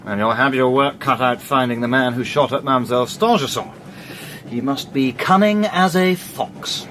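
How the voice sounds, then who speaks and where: A man's voice: medium pitch, moderate pace, fairly bright tone, slight background noise, lightly acted. An actor playing a stuffy, dryly exasperated male barrister who also narrates a radio drama in a formal, slightly pompous way. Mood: earnest.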